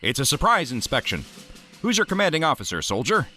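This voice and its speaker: An actor playing an eager, earnest ghost-hunting scientist in an audio drama, with an enthusiastic manner. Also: male voice, on the high side, sing-song, a little fast, sharp tone, faint music behind. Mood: bluffing authority. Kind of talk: full-cast audio drama acting.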